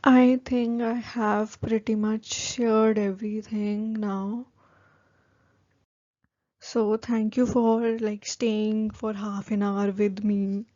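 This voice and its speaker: Mellow-voiced young Indian woman, flat delivery. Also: medium pitch, takes it slowly, no room sound, highs cut off. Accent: Indian English. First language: Hindi. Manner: chatty, many "like" fillers, informal. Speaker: vlogger giving career advice. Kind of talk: casual advice talk to camera.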